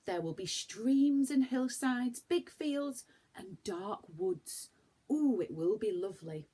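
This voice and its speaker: A woman voicing an excited, eager young boy in a children's storybook, exclaiming his lines. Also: on the high side, slow pace, breathy edge, pitched above the performer's own voice, heavily acted.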